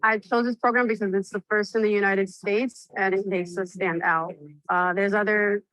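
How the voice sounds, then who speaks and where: A woman's voice: mid-range voice, steady tone, normal speed, fairly bright tone, no room sound, low-quality recording. A woman, a graduate student giving an enthusiastic testimonial in a promotional video. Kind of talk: testimonial interview.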